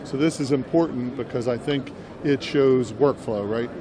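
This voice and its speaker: A man's voice: medium pitch, normal speed, crowd noise. A man giving unscripted product demo commentary as an interviewer, explaining and rephrasing as he goes. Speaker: interviewer at a trade show. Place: indoor trade show booth. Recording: noisy trade show floor.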